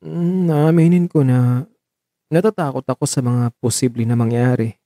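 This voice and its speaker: A man acting as a devoted, caring partner in an audio drama, earnest, coaxing and gently scolding with emotion. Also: medium pitch, fairly even intonation, normal speed, dry recording, clean studio sound, lightly acted. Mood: reassuring.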